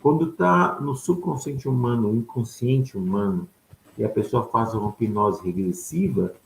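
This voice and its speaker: Man, lecturer, mid-pitched gravelly voice, asks and answers own questions, roomy echo. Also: moderate pace, darkish tone. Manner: poses rhetorical questions and answers them; checks in with listeners with a tag "tá?". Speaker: ufology lecturer. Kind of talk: explanatory lecture. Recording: echoey room with faint background noise.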